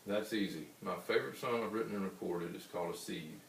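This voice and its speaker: A man with a baritone voice, a singer-songwriter answering interview questions in an unhurried, rambling way. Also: gravelly. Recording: roomy, distant mic with faint hiss.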